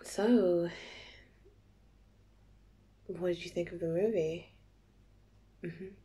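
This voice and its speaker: A woman playing a playful, nervous young date in an intimate ASMR roleplay, soft and halting, often near a whisper. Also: lowish voice, steady tone, husky, heavily acted. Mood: playful, giggly. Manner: halting, giggly, teasing.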